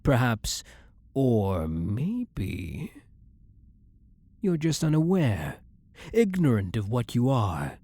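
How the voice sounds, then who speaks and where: An ancient vampire who hunts his own kind, acted by a man, speaks slowly and deliberately in a husky, breathy voice. He is blunt and dominant, with pitch that rises and falls expressively. The sound is dry and close, made for ASMR roleplay. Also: wildly swooping pitch, warm tone, no echo, heavily acted. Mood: intrigued, suspicious. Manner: blunt, commanding, interrogating, slow and deliberate. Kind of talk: ASMR roleplay, intimate dramatic monologue.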